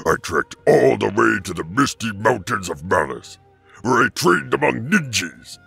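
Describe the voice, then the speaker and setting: A giant turtle-dragon tyrant for a cartoon. The voice is gravelly and forceful, leaping across a huge range. He is bombastic, vain and short-tempered, theatrical in every phrase. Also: fairly low pitch, very animated, a little slow, faint music behind, full character voice. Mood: grandiose, brooding. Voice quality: gravelly, raspy. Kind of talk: cartoon fan-dub acting.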